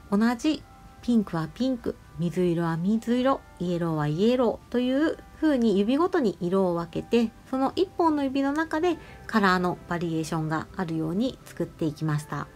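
A woman nail artist narrating a tutorial voice-over, explaining each step politely and giving gentle advice. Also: medium pitch, big pitch swings, moderate pace. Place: voice-over over close-up salon footage. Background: music.

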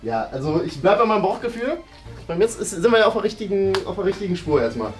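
A young man hosting a game in casual, playful German chat, animated and thinking aloud. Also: sits high, fast, soft background music. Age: young adult. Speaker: host and guesser in a group guessing game. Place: bright indoor studio room.

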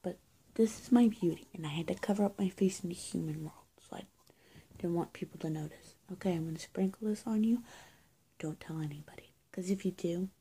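A young woman improvising a witch roleplay to camera in a halting, confiding, breathy voice that often drops toward a whisper. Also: lowish voice, dark tone, slight background noise. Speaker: roleplay video creator. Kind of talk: improvised roleplay to camera, playing a witch caring for someone hurt. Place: small indoor room with bare walls. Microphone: phone or webcam at arm's length.

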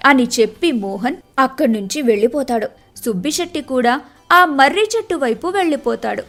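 A woman's voice: high voice, lively intonation, brisk, a bit bright, soft background music. A woman reading scripted narration for a moral tale as the storyteller.

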